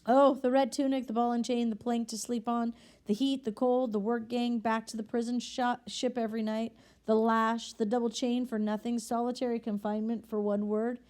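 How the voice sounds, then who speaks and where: A woman's voice: on the high side, level tone, moderate pace, a little mellow, close to an everyday voice. A woman reading aloud and voicing a desperate forty-six-year-old ex-convict man who stammers and pleads. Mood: bitter, anguished. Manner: stammering, pleading, tumbling words.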